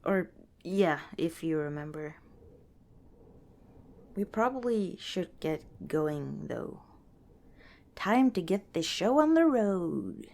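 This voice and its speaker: A woman playing a friendly, protective young wanderer in an ASMR roleplay, chatting casually and gently with hesitant pauses and small chuckles. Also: fairly low pitch, sing-song, creaky, exaggerated voice. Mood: casual, encouraging. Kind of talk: ASMR roleplay, casual one-sided dialogue.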